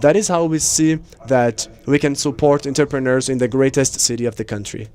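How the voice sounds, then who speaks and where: Clear-voiced male conference interpreter. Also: medium pitch, fairly even intonation, brisk, a little mellow. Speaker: simultaneous interpreter. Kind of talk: live interpreting of conference speeches.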